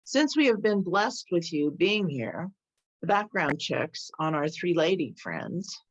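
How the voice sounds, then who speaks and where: A woman acts out a police chief in a script reading, courteous and humble with measured authority. The voice is a bit low and the sound is slightly dull. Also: big pitch swings. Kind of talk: table read of a screenplay. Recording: dull, lo-fi audio.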